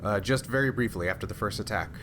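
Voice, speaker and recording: A man's voice: lowish voice, quick pace, noisy background, close to an everyday voice. A man playing an anxious, earnest female starship first officer in a tabletop game, with a hesitant, plain delivery. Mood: uneasy, cooperative.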